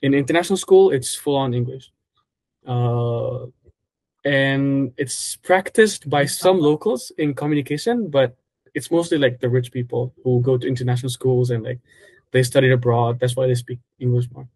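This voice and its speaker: A man's voice: medium pitch, moderate pace, no room sound. Young Egyptian man answering interview questions. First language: Arabic. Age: 20s. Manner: relaxed, with frequent uh fillers and self-corrections.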